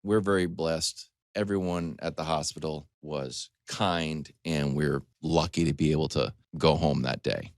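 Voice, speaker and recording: Deep-voiced man telling a casual story. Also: unhurried, few pauses, dry recording, clean studio sound. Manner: animated, acts out quotes in dialogue. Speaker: sports talk show host.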